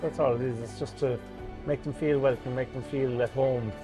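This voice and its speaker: Cheerful restaurant manager, friendly, faint music bed. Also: male voice, mid-range voice, steady tone, normal speed. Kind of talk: interview.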